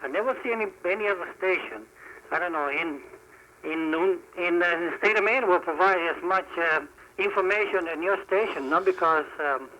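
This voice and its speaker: A man calling in to a radio show, speaking politely and haltingly. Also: high-pitched, sharp tone, rough recording. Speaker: phone-in caller. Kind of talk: halting, polite listener call. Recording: 1970s radio call-in.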